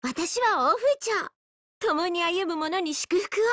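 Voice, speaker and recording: A woman's voice: extremely high pitch, lively intonation, slow, a bit bright, no room sound, clean studio sound, full character voice. A woman voicing a graceful, dreamy bird-of-paradise girl for an anime mobile game, with an elegant, theatrical and rapturous delivery. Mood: ceremonial, gracious. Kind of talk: Japanese anime mobile game character lines.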